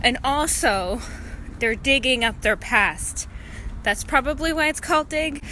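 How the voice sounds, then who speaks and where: A woman gives a quick, offhand book update outdoors. Her voice is bright and somewhat high. Faint traffic noise sits behind her. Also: animated, low-quality recording. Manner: breezy, offhand. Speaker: book channel host. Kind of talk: casual recorded update.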